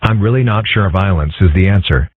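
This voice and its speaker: A man voicing a calm, dry-witted young dragon son with a deadpan, measured delivery in a comic dub. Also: low voice, slightly rough, no room sound, phone-quality sound. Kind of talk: comic dub character acting.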